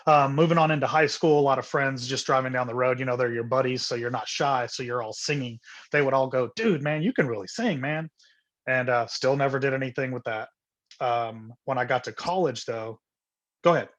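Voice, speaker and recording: A middle-aged man from Honduras, a singer guesting on a video call, speaks with a voice of everyday middle pitch. He tells stories in a relaxed, earnest way, restarting phrases and filling gaps with "um" and "uh". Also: brisk. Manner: relaxed and earnest, full of "um" and "uh", repeats words. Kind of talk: casual interview storytelling.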